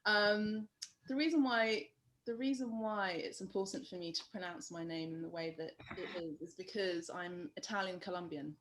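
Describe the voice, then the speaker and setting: A woman with a London accent talks over a video call as a guest artist. Her speech is loose and rambling, full of fillers and quiet laughs. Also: lowish voice, normal speed. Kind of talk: casual interview chat.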